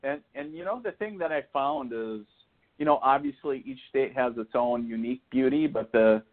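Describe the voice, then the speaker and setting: A man's voice: medium pitch, normal speed. An American man chatting casually as a guest, joking and rambling, often saying "you know". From northern Minnesota, United States. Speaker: guest psychic medium. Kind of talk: casual interview chat. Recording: phone-like thin audio.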